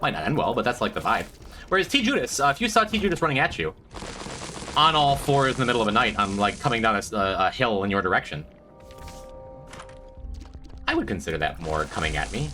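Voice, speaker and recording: A man's voice: sing-song, fairly quick, long pauses, pushed and bright, faint music behind. A man streaming a video game, rambling to his chat in a self-mocking way with a stuffed-up, congested voice. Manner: rambling, self-mocking, breaks into high squeaky jokes. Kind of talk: casual gameplay commentary to chat.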